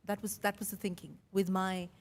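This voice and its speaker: A middle-aged woman with an Indian accent, a mix of Mumbai, Kerala and London, telling a personal story in a chatty, animated way as a podcast host. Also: medium pitch, moderate pace. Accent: Indian English, Mumbai and Kerala with London influence. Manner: chatty, animated, quotes her own thoughts.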